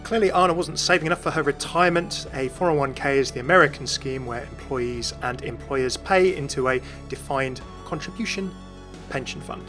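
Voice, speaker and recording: A man's voice: medium pitch, fairly quick, a bit bright, soft background music. A British man hosting a video, reading scripted game commentary and narration. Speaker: video host.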